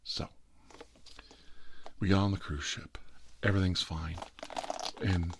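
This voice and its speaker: A man's voice: low voice, steady tone, takes it slowly, vocal fry, slight background noise. A middle-aged man with an American accent telling a rambling personal story in a casual, wry, self-deprecating way. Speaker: host.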